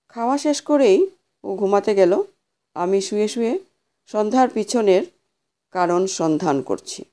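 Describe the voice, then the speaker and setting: A woman narrating a scripted horror story as an audio storyteller, with a measured, dramatic delivery. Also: mid-range voice, warm tone.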